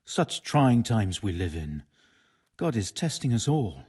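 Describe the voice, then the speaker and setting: Medieval monk, husky, mellow, lively intonation, solemn, pious, game dialogue. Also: male voice, lowish voice, unhurried, full character voice. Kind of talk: video game voice acting.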